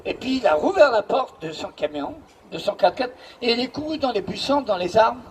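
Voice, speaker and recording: A man's voice: very high-pitched, expressive, fairly quick, a little hiss or hum, low-quality recording. A man from the Paris region preaching a sermon as pastor, emphatic and repetitive, telling a story to a responding congregation. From Paris region, France.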